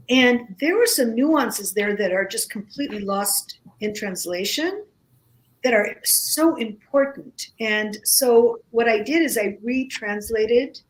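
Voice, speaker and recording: A woman whose first language is Greek, speaking as a guest in a casual radio conversation, slow and halting with many pauses and restarts. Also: a little high, a little hiss or hum, rough recording.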